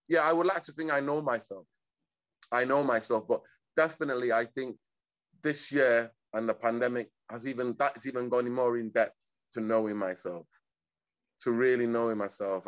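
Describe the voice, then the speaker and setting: A man's voice: medium pitch, dull audio. A man from East Africa talking reflectively in a casual conversation, rambling and often checking in with "you know what I mean". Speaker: guest.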